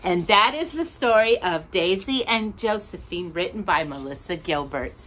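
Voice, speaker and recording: A woman hosting a children's storytime, speaking in an expressive, sing-song, drawn-out way. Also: lowish voice, a little hiss or hum, poor audio. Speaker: host of a children's storytime show. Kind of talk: children's storybook read-aloud and chat to young viewers.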